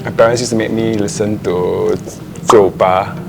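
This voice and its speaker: A man's voice: fairly low pitch, steady tone, normal speed, gravelly, music in the background. A young man with a Singaporean English accent, chatting as a podcast guest and laughing often. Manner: laughs often, drops in local particles. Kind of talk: casual chat. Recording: noisy room.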